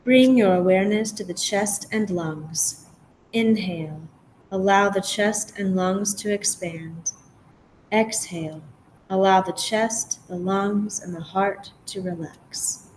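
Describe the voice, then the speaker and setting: Woman, yoga nidra guide, very slow gentle delivery, measured pauses, instructional, noisy background. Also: medium pitch. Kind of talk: guided relaxation meditation.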